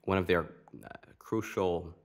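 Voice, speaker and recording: Low-voiced man hosting a chat. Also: steady tone, a little slow. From Romania, raised in a Hungarian family. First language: Hungarian. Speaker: podcast host. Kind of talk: casual interview chat.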